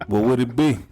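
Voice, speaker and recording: Lively, animated man in casual talk. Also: a little high. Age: 30s. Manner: lively, emphatic, profane. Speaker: podcast co-host. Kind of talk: casual chat.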